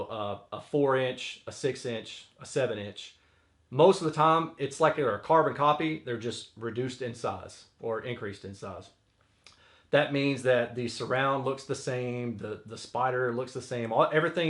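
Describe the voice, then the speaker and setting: A man's voice: medium pitch, a bit bright. Casual male audio reviewer explaining gear. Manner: conversational, technical explaining with hedged guesses. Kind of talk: casual explanatory product commentary.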